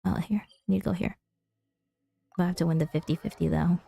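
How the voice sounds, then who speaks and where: A woman streaming a game, chatting casually and reading dialogue aloud, with a creaky voice. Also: on the low side, steady tone, brisk, warm tone.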